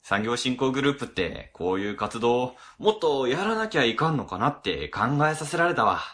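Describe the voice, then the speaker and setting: A man's voice: medium pitch, very animated, brisk, a bit bright. A man playing a fussy, grumbling middle-aged section chief, fretful and talkative, in a radio drama. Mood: fussy, musing.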